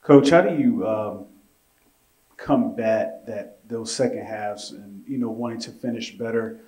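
Man, low mellow voice, reporter, hesitant winding question. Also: a little slow.

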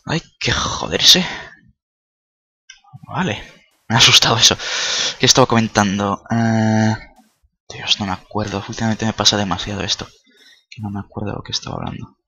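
A man's voice: lowish voice, moderate pace, pauses often, pushed and bright, slightly rough, dry recording. A man who is not a native Spanish speaker, chatting casually while playing a video game, joking and swearing. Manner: chatty, swears a lot, jokey, startled outbursts. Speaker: gaming video creator. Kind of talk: casual let's-play commentary.

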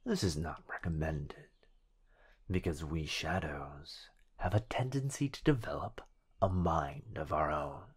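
Man voicing a slow, low, whispery possessive shadow. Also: full character voice. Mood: teasing, sinister. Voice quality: breathy, whispery. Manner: drawn-out, menacingly sweet delivery. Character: possessive, teasing living shadow of a sorcerer boyfriend. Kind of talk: ASMR roleplay.

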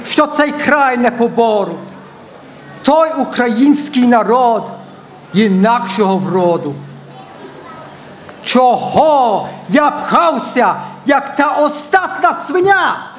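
A man's voice: extremely high pitch, slight background noise, full character voice. A man acting a pompous, melodramatic dictator in a satirical stage monologue in verse.

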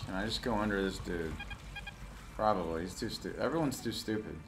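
A man's voice: lowish voice, brisk, noisy background. A man casually commentating his VR gameplay at home, muttering wry, self-deprecating remarks over the game's sounds. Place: home room with VR headset. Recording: game music loud behind voice.

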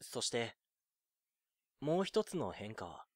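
Man acting as lovesick young man, lively pitch. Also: slow. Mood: brooding, confessional. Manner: introspective monologue, flustered in dialogue. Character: lovesick, awkward, self-deprecating 19-year-old young man. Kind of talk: audio drama acting with first-person narration.

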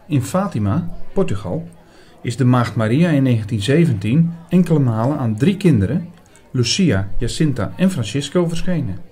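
A man playing an earnest priest who narrates a mystery audio drama in a measured, bookish, formal way. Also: mid-range voice, expressive, warm tone, slightly rough. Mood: earnest, absorbed. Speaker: narrator and lead actor.

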